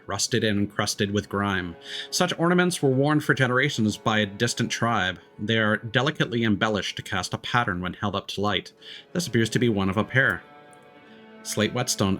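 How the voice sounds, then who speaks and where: A man livestreaming a game, reading lore text aloud in a measured, dramatic way. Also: mid-range voice, a bit bright. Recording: music behind the voice. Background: game music.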